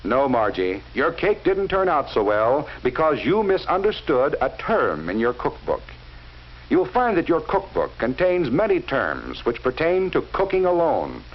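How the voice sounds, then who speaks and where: A man narrating a 1940s educational film in a measured, instructive way, speaking directly to the viewer. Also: on the high side, wildly swooping pitch. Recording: dull lo-fi 1940s film soundtrack with faint hiss.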